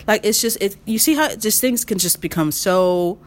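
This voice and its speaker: A woman in her mid-thirties hosting a solo podcast, giving emphatic advice, repeating her key phrases and swinging her pitch when she makes a point. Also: normal speed. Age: 30s. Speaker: podcast host.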